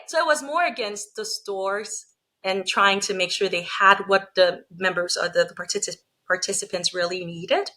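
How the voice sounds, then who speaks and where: Interview guest: woman from Liberia, lawyer turned coach, bright tone, thoughtful and explanatory. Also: on the low side. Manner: reflective, explanatory, frequent "you know" and "right?". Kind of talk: conversational interview.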